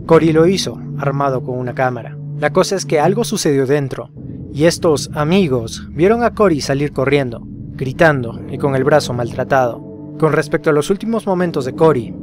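A man narrating a scripted horror case as a storyteller, putting rhetorical questions to the listener. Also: a little high. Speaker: narrator of a horror explainer video. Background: music behind the voice.

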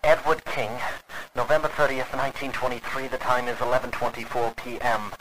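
A man acting as a precise 1920s radio investigator dictates his log in a formal, deliberate way. His mid-pitched voice sits in the clear noise of an old recording, as in a vintage radio play. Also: little pitch movement. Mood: methodical, intent. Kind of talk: audio drama in 1920s radio manner.

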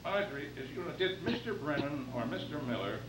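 An older man playing a composed, shrewd private detective in a radio play, reading in a measured, questioning way. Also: on the high side, lively intonation, natural voice. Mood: thoughtful. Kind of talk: radio play read from script. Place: function room, live staged reading. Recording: roomy, distant mic, noisy humming tape.

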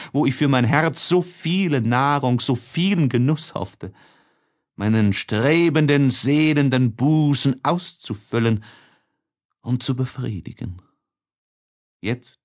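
A man reading a classic literary letter as an audiobook narrator, with a deliberate and expressive dramatic delivery. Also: medium pitch.

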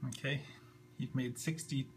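A man's voice: medium pitch, steady tone, unhurried. A man giving a casual, unscripted tutorial as he works, sometimes muttering to himself. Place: indoors at a computer desk. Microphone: handheld camera, a little off the screen. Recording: faint background hum and noise.

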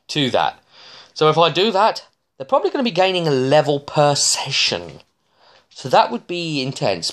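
A man in his thirties giving a casual, rambling recap as a game master, halting and often trailing off mid-thought. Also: medium pitch, fairly bright tone. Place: small domestic room indoors.

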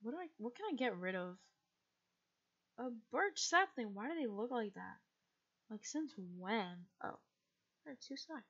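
A young woman from the United States, chatting casually and rambling as she streams a game, with a husky, quiet voice and frequent laughs. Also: on the high side, very animated, unhurried, long pauses, lo-fi sound.

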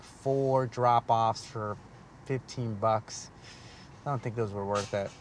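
A man in his forties chatting to the camera from inside a parked car, relaxed and easygoing. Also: mid-range voice. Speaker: delivery driver vlogger. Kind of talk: casual vlog narration. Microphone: clip-on lapel mic.

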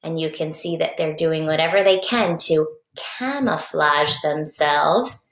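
A woman's voice: deep voice, unhurried. A woman reading a picture book aloud and explaining it to young children, speaking to them directly. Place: basement at home, indoors. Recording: echoey basement room.

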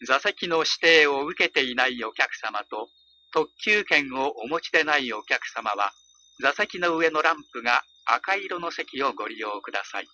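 A man's voice: mid-range voice, lively intonation, moderate pace, pushed and bright, rough recording. A man reading a formal, polite scripted train announcement with precise enunciation over onboard PA speakers. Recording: train PA recording with hum and noise.

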